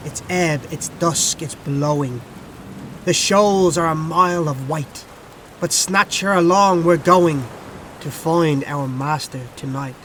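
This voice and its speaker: Man, high voice, unhurried dramatic poetry recitation, faint rain and storm ambience. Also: a bit bright, slight background noise. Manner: dramatic, storytelling delivery.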